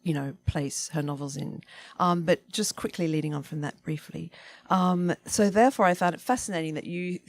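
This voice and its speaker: Low-voiced woman putting questions to a panel. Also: normal speed, slight background noise. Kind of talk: literary panel discussion.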